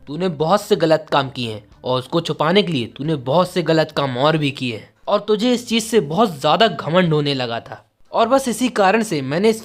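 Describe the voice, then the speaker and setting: A righteous young lord , as a story narrator plays him, self-assured and a little mocking as he declaims. The pitch moves a lot, and music runs underneath. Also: close to an everyday voice. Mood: stern.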